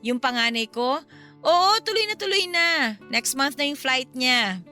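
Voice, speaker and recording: A woman playing a chatty, teasing middle-aged neighbor in a radio drama, with a Filipino accent and an animated, gossipy manner. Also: high voice, unhurried, soft background music, heavily acted. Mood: proud, animated.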